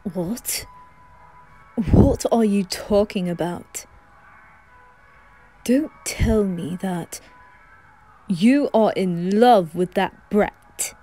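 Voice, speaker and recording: A woman voicing an irritable young boy, speaking in tense, low, hurried whispers. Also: mellow, soft background music. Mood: urgent. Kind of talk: ASMR roleplay fan audio drama.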